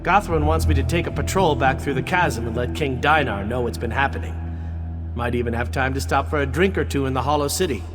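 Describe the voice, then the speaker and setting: A man's voice: medium pitch, fairly quick, close to an everyday voice. Guy doing a cocky young hero braggart, mid voice, hall echo, music behind. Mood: casual confidence. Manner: breezy, boastful, tongue-in-cheek. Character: cocky, dashing young male swordsman, breezy braggart. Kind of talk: fantasy game character acting.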